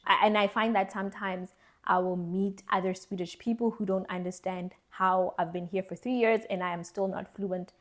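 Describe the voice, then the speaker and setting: A young woman from South Africa giving a candid, reflective vlog monologue, earnest and thoughtful, often pausing and restarting her words. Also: medium pitch. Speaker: vlogger.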